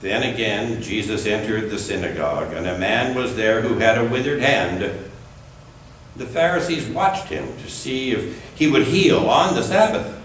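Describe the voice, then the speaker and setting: A man's voice: fairly low pitch, animated. Man reading scripture in an echoing room. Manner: measured, deliberate phrasing. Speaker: scripture reader at a church service. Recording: echoey room, distant mic, faint noise.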